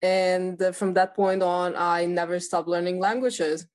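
A woman's voice: on the low side, fairly even intonation, darkish tone. An eighteen-year-old woman from Ukraine, a native Russian speaker, answering interview questions with many fillers and restarts. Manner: quick, with frequent fillers and restarts. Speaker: podcast guest, polyglot.

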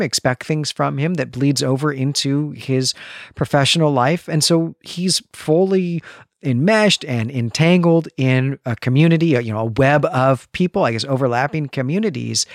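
A man's voice: a little high, no room sound, clean studio sound. A man co-hosting a podcast, thoughtfully talking through literary analysis in a conversational way, building points in long, rephrased clauses. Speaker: podcast co-host.

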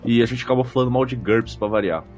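A man's voice: medium pitch, fast talker, darkish tone. A man with a Brazilian accent hosting a podcast, chatting casually in a jokey, slangy way and reading listener comments. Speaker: podcast host. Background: background music.

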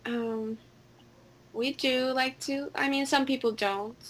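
A woman with a Finnish accent answers questions in a casual, conversational way. Her voice sits fairly high and she takes her time, hesitating between phrases and sounding a bit giggly. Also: fairly even intonation, slow pace, background noise. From Finland. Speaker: interview guest.

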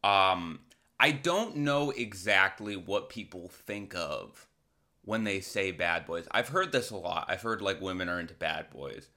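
American male podcast host, bright punchy tone, sardonic and rambling, casual comic delivery. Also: low voice, lively intonation, unhurried. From Massachusetts, United States. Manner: rambling, irreverent, crude, sardonic. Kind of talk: casual comedic monologue.

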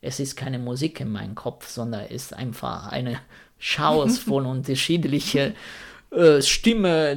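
A man from Rome speaking German with an Italian accent, answering interview questions thoughtfully and searching for words. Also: mid-range voice. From Italy (Rome). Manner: thoughtful, searching for words, non-native grammar.

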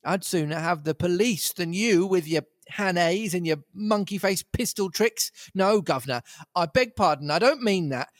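A man's voice: high-pitched, animated, moderate pace, no echo, studio quality, pitched above the performer's own voice. A man voicing a down-and-out male tramp who talks in a beggar's whine. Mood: aggrieved. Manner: wheedling, then blustering.

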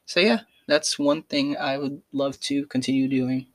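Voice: medium pitch, fairly even intonation, a little slow. A young adult student talking through a casual personal podcast in an earnest, hesitant way, with many fillers and restarts.

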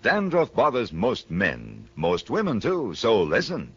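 Male American announcer, husky, lively sales delivery, muffled vintage broadcast sound. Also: lowish voice, measured pace. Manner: emphatic, polished salesman delivery. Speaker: radio announcer. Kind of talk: 1950s radio commercial and announcing. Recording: muffled old radio broadcast.

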